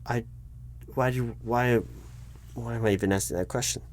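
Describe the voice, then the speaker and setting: A man playing a job interviewer in an ASMR roleplay, patient but baffled, with a dry, halting delivery full of restarts. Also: medium pitch, fairly even intonation. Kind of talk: ASMR roleplay, spoken aloud, not whispered.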